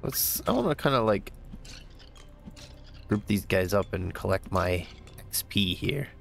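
A man's voice: low-pitched, animated, a little slow, creaky, a bit bright. A man with a stuffy, congested voice, casually thinking aloud as he plays a game for the first time. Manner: thinking aloud, easygoing, upbeat exclamations. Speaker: gamer playing a new game. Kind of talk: casual let's-play commentary. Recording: game music behind.

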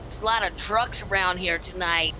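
A man's voice: sits high, moderate pace, natural voice. A man playing a stoned, easily distracted hiker in improvised game role-play, talking in slangy bro-and-man speech. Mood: wondering, friendly. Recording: noisy game voice chat, vehicle ambience.

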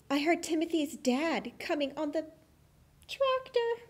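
A small bear cub puppet played by a woman talks high and slowly. The cub is timid, fond of treats and speaks in hesitant, childlike phrases for a children's puppet show. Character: timid young bear cub puppet who loves cake.

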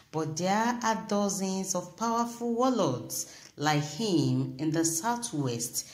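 A woman presenting an online news report, reading with pauses, fillers and restarts. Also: medium pitch, darkish tone. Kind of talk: read news report with commentary.

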